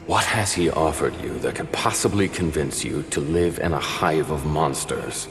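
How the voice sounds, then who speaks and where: Game hunter: extremely low, stern, disdainful, hall reverb over music. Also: male voice, normal speed. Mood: scornful. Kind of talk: video game acting.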